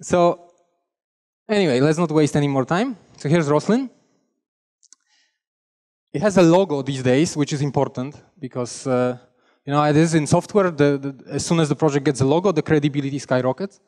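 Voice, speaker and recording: A man's voice: a little high, moderate pace, darkish tone, in a large hall. A young man from Poland with a Polish accent, giving a chatty, self-deprecating technical talk on a conference stage. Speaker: conference speaker. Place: large conference hall stage. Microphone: headset mic.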